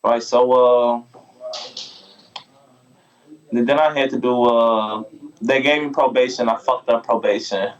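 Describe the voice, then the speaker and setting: A man's voice: mid-range voice, fairly even intonation, normal speed, muffled recording, slight background noise. A man who is a podcast guest, telling a long casual story in a rambling, profane way and asking "you feel me" again and again.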